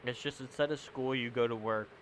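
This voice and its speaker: A man's voice: mid-range voice, steady tone, fairly quick, a bit bright, a little hiss or hum, rough recording. Young man chatting on a game stream. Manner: rambling, self-correcting, answering chat. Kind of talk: casual gaming livestream chat.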